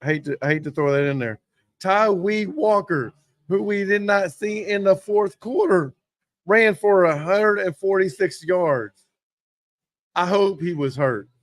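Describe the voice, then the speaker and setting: Hoarse oklahoma guy ranting football from a sports bar, kinda higher voice, super dry sound. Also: unhurried, no room sound. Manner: rambling, gets worked up over play calls. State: hoarse from yelling. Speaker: host. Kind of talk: casual sports talk.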